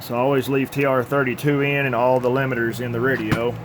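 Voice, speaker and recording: A man with a mid-pitched voice talks through technical work in a plainspoken, informal way, like a repair technician at his bench. There is faint noise behind him. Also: little pitch movement, fast. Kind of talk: informal workbench demonstration.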